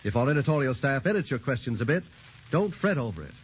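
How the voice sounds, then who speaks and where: A male radio announcer delivering a scripted sponsor pitch in 1940s style on a vintage recording. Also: very animated, quick pace, breathy edge, lo-fi sound.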